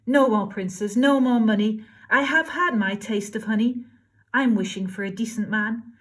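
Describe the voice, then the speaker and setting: A woman voicing Cindy, a spoiled and furious young woman, in a shrill, demanding, theatrical way for a storybook reading. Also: normal speed, natural voice. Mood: earnest.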